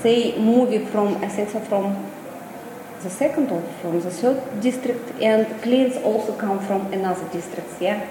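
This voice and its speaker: A middle-aged woman from Russia with a Russian accent, in halting non-native English that repeats words and restarts, giving a street interview as a boutique owner. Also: mid-range voice, noisy background. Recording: echoey long reverb, clear background ambience.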